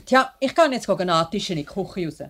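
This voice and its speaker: Animated, pushy Swiss mother. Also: medium pitch, brisk. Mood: brisk, homely. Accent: Swiss German. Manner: insistent, animated. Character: pushy, practical older mother of a family man. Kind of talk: 1950s Swiss radio play acting. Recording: noisy old radio recording.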